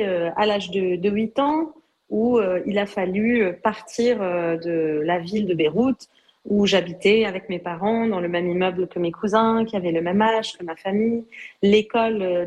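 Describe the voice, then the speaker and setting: A middle-aged woman from Lebanon, a guest recounting her life slowly and hesitantly, full of fillers and restarts. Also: medium pitch, darkish tone. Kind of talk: personal testimony in an interview.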